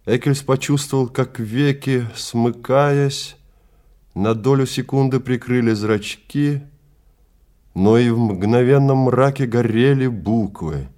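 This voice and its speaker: A man narrating literary fiction in a theatrical, measured manner with deliberate phrasing. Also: medium pitch, warm tone.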